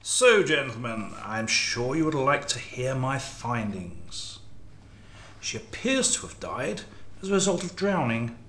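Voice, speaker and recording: A man playing a formal, measured chief coroner reporting findings in a radio drama. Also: fairly high pitch, fairly bright tone. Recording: roomy, echoing sound.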